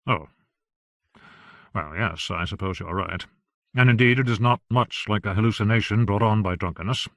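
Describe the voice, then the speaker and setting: A man reading a reserved dry-voiced gentleman. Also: fairly low pitch, no room sound, natural voice. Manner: measured, dry, subdued.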